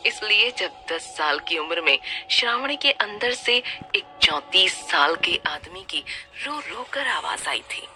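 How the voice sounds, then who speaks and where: Female horror narrator: slow, suspenseful, highly animated intonation, scripted storytelling delivery. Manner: suspenseful, theatrical, deliberate phrasing. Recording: music bed under the voice. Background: music.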